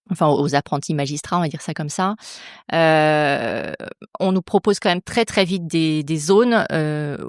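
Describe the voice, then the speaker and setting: A woman's voice: lowish voice, no echo, clean studio sound. A woman in her forties from Normandy, a public prosecutor speaking as a podcast guest, thoughtful and hesitant, with many fillers and restarts. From France, Normandy. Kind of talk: casual interview talk.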